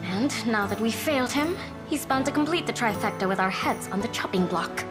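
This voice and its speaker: Woman playing a high-voiced haughty young noblewoman. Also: animated, fairly quick, natural voice. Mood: coy, confident. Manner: theatrical, imperious, coquettish. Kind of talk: video game cutscene acting. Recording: loud game music behind. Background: game music.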